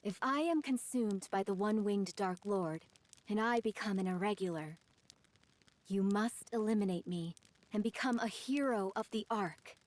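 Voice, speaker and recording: A woman's voice: medium pitch, normal speed, lightly acted. A woman playing a loyal, self-dramatizing young warrior who speaks formally and solemnly in a game dub. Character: grandiose, loyal young woman warrior with dark-fantasy delusions. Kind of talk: English game dub voice acting.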